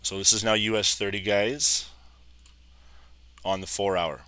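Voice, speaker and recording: A man in his thirties, a little hoarse, casually talking viewers through live trading charts and calling them "guys". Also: lowish voice, fairly even intonation, measured pace, bright, forceful tone, dry recording. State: slightly hoarse, losing his voice. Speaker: trading educator. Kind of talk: live trading screen commentary.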